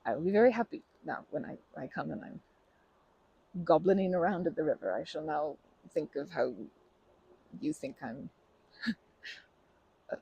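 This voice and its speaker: A woman acts out a shy, kind young woman in an ASMR comfort roleplay. Her voice is very breathy and mellow with some creak, and she stammers, rambles and puts herself down in a flustered, nervous way. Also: low voice, measured pace, long pauses, muffled recording, heavily acted. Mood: flustered, sheepish. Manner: stammering, self-deprecating, rambling with nervous giggles.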